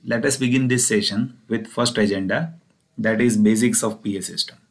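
A man's voice: on the low side, fairly even intonation, normal speed. A man with an Indian accent explaining engineering material step by step as a lecturer, in an explanatory, repetitive way. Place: voice-over recorded over slides.

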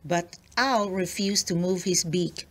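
A woman narrating a children's picture book aloud in an expressive storytelling manner. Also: on the low side, slow.

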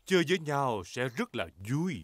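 A man voicing a jovial, easygoing cartoon father pig, expressive and reassuring. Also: on the high side, normal speed, heavy background noise, close to an everyday voice. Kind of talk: Vietnamese cartoon dub. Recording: prominent cartoon music behind voice.

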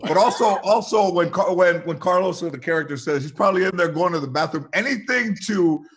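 A man with a husky voice chatting casually as a cast member on a group video call. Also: sits high, expressive, a little fast, a bit bright. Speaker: cast member on a live table read. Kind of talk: casual chat.

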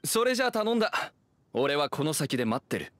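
Casting: working man for an anime game, plain-spoken, anxious warehouse boss, lively intonation. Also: fairly high pitch, brisk, faint music behind. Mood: earnest.

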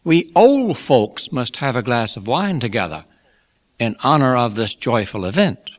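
A man voicing a genial, effusive old gentleman from a classic storybook, making toasts in an emphatic, oratorical manner. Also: medium pitch, big pitch swings, a little slow. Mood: jovial. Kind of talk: dramatised classic novel reading.

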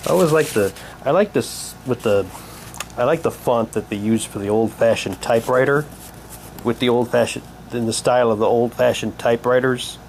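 A man giving a halting, unhurried, casual commentary as he shows and reads out items for an unboxing video. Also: medium pitch. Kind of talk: casual unboxing commentary, reading packaging aloud. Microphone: handheld camera's built-in mic. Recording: noisy home camcorder, steady hum.

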